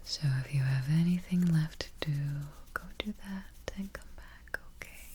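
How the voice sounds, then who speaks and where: A woman whispering softly and breathily in a gentle, soothing manner, guiding a sleep relaxation as an ASMR host up close to the microphone. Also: sits low. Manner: slow, gentle, soothing, repeats soft check-ins.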